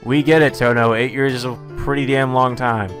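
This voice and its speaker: A man's voice: medium pitch, steady tone, moderate pace, music in the background. A man hosting a let's play of a visual novel, breaking in with sarcastic, irreverent commentary. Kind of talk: visual novel read-aloud with joking commentary.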